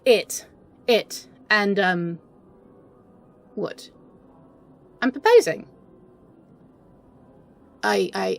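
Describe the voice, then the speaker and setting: A flustered young-at-heart romantic heroine, acted by a woman in an intimate audio roleplay. Her voice sits fairly high and moves very slowly, with stammers and halting restarts. She sounds smitten and playfully scheming. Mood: excited, nervous.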